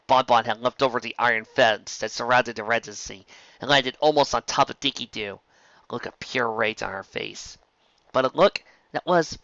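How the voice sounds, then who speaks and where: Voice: mid-range voice, a bit bright, no echo, highs cut off. A narrator reading scripted fan fiction as an audiobook, in a storytelling style.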